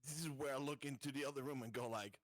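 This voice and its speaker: A man's voice: medium pitch, fairly even intonation, fast, very noisy. A man playing a tabletop game, chatting casually and jokingly with the group. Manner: joking, informal. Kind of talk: casual chat during a game.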